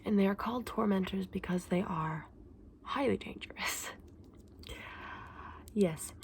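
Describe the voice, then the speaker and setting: A woman voicing a gentle, scholarly dragon egg saleswoman in an ASMR fantasy roleplay, mostly whispering and explaining earnestly with small hesitations. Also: medium pitch, measured pace, creaky, a little hiss or hum. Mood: soft, fascinated.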